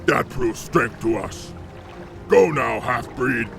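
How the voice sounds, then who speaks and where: A gravelly ogre chieftain for a game cutscene. He talks slowly in blunt, broken sentences, scornful and sure of his own might. Light music plays underneath. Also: mid-range voice, lively intonation. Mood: gruff, testing. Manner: broken grammar, blunt taunting. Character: brutish, arrogant ogre clan chief, scornful and dim-spoken. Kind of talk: video game cutscene acting.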